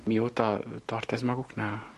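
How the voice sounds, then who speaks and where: A man's voice: fairly low pitch, little pitch movement. A man asking questions as a documentary interviewer.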